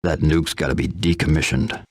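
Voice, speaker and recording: An actor playing a grim, laconic vigilante antihero in a hard-boiled video game, terse and deadpan. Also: male voice, very deep voice, moderate pace.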